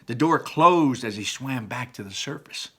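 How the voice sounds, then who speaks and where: Man, children's storyteller, forceful bright tone, medium pitch, dramatic emphasis, simple asides. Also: animated, normal speed, husky. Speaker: children's read-aloud storyteller. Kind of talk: animated storybook narration with explanatory asides.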